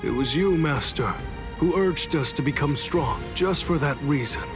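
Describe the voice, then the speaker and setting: A man voicing an earnest, principled swordsman captain in an anime game, speaking in a measured, formal way. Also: on the high side, animated, lightly acted. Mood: earnest pleading. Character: earnest, principled male swordsman captain, loyal former pupil. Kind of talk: dramatic anime game voice acting. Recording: echoing voice over game music.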